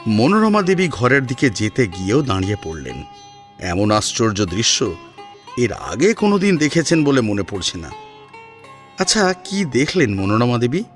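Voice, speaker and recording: Lively male storyteller over music. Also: medium pitch, moderate pace. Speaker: story narrator. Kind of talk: scripted dramatic narration.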